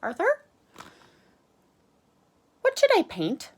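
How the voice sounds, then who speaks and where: Woman playing high-pitched, eager little lizard boy. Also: huge pitch swings, drawn-out words, exaggerated voice. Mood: pleading. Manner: bubbly, childlike enthusiasm.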